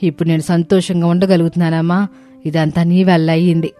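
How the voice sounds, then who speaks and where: A woman voicing a gentle, plaintive elderly mother-in-law in a cartoon story, with a pleading, motherly manner. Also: fairly low pitch, flat delivery, brisk, soft background music, close to an everyday voice. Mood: grateful.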